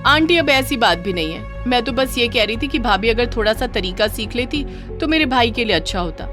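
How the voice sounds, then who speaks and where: A woman voicing a spiteful, haughty young sister-in-law in a moral story, sneering, sharp-tongued and condescending. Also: fairly high pitch, animated, fairly quick, music in the background, voice pushed up, lightly acted. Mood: complaining. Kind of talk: acted dialogue in a moral story.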